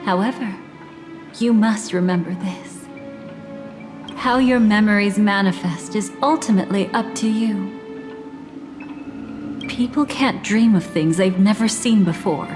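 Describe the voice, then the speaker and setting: An actress playing an enigmatic, composed woman in a video game, guiding a dreamer in a measured, solemn and cryptic way. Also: mid-range voice, over music, close to an everyday voice. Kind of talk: scripted video game dialogue. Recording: long hall-like reverb.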